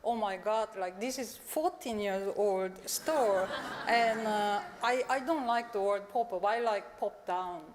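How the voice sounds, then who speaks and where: A woman from South Korea, a native Korean speaker, gives an informal design talk in animated, fragmented phrases. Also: medium pitch, unhurried, few pauses, noisy background. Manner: animated, fragmented, peppered with 'like'. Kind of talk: informal conference presentation. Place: large conference hall on stage. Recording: reverberant hall recording.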